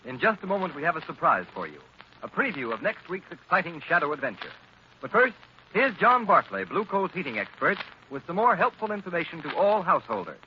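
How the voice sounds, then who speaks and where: A man's voice: on the high side, sing-song, fairly quick. A man announcing in scripted old-time radio style, with a dramatic, emphatic manner. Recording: dull, hissy old radio recording.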